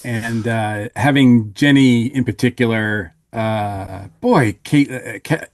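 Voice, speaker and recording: A man talking casually as a podcast panelist, halting but enthusiastic as he reviews a show. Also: medium pitch. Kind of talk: casual review chat about an audio drama.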